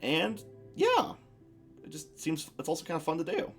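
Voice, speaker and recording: A man's voice: fairly high pitch, huge pitch swings, moderate pace, gravelly, faint music behind. A young man streaming and chatting casually, musing aloud with lots of restarts and fillers. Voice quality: masculine voice. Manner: rambling, reflective, many restarts and fillers.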